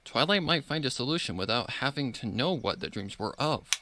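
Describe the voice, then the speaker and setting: A man reading a story aloud in an expressive, dramatic scripted narration style. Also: medium pitch, a little fast, noisy background. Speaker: fan fiction reader.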